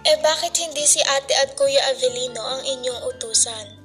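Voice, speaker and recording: A woman's voice: sits high, normal speed, a bit bright, soft background music. A woman playing a spirited, cheeky young daughter who loves motorbikes, in an amateur radio drama with a Filipino accent. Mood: questioning, dutiful. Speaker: student radio drama actor.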